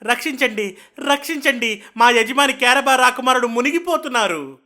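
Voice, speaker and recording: A man voicing a clever, flattering talking cat servant in a children's fairy tale, with a wheedling, theatrical manner. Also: very high voice, moderate pace, soft background music, exaggerated voice. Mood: urgent pleading.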